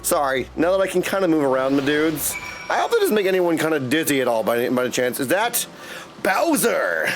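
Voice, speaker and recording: High-pitched, animated male game streamer. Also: fairly quick. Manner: exuberant, slangy, chatty. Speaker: game livestreamer. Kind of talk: casual live stream chat and game commentary. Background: game music.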